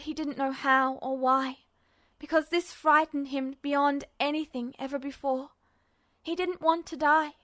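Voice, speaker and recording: Female story narrator, high voice, dramatic fiction reading, dry close sound. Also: dry recording.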